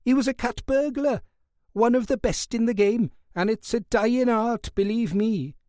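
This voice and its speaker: A man voicing a chatty Welsh prison guard with a high voice who is a keen boxing fan. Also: darkish tone, no echo, studio quality. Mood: enthusiastic. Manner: genial, chuckling, quick to talk.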